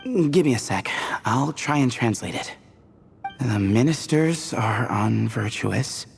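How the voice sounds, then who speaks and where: A woman's voice: very deep voice, animated, slow pace, vocal fry, darkish tone, exaggerated voice. A woman voicing a playful, knowledgeable young desert guide in a video game, explaining things expressively. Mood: thoughtful. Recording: game music behind the voice.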